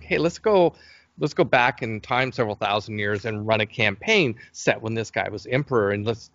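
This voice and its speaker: A man with a noticeably creaky, gravelly voice speaks as a guest in a casual interview. He is enthusiastic but halting, circling back as he tells his stories. The sound is dry and somewhat dull and lo-fi. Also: mid-range voice, a bit bright, no room sound. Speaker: interview guest, game creator. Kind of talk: casual interview talk, storytelling.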